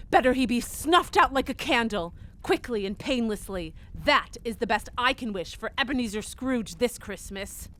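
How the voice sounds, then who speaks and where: An indignant wife in an audio drama , played by a woman, bitter and emphatic. Her voice is high, with a bright, forceful edge. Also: animated, moderate pace. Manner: sharp, emphatic. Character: indignant, bitter wife refusing to toast a tyrant.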